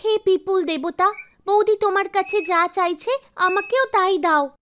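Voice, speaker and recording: A woman's voice: extremely high pitch, a little slow, a bit bright, a little hiss or hum, voice pushed up. A woman voicing earnest, devout young village women in a cartoon folktale. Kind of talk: dramatized cartoon storytelling. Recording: background music behind voice.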